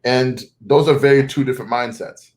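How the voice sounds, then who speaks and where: A man's voice: mid-range voice, normal speed, darkish tone. A young man with a North American accent, born in Zimbabwe and raised in North America, answering interview questions thoughtfully, often restarting and correcting himself. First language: not English. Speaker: guest. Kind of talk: conversational interview.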